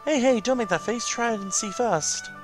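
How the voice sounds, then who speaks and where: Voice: medium pitch, normal speed, over music, pitched above the performer's own voice, close to an everyday voice. A person voicing a small, eager spirit familiar from a video game in a chirpy, encouraging way. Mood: cheerful, coaxing. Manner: bubbly, encouraging, coaxing.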